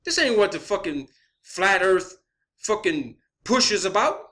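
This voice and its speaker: A man's voice: high-pitched, very animated, unhurried, sharp tone. A man delivering a confrontational, blunt, profanity-heavy rant as an online video creator. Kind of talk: profane spoken rant to camera or viewers.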